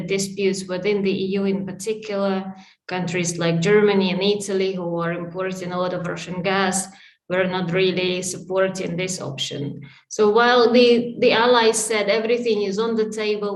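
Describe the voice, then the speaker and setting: A woman expert answers interview questions in a fluent, emphatic way, laying out her points one by one. Her voice sits at an ordinary middle pitch. She is heard over a video call from a roomy, echoey space. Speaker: sanctions expert guest.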